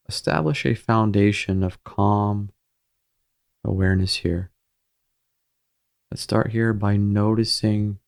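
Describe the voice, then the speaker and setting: A man leading a guided meditation in a gentle, soothing, measured manner with pauses between phrases. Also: fairly low pitch, creaky.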